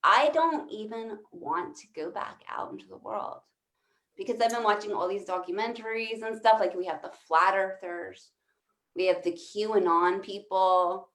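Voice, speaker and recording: A woman's voice: mid-range voice, normal speed, fairly bright tone. A woman doing conversational stand-up comedy, rambling and swearing as she interrupts herself, recorded in an echoey room. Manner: rambling, profane, self-interrupting.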